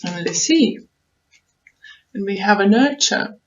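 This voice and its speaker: Slow-paced woman giving a tarot reading. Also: medium pitch, expressive, highs cut off. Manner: halting, repeats phrases, thinks aloud. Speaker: tarot reader, online show host. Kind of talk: unscripted tarot reading, advice to viewers.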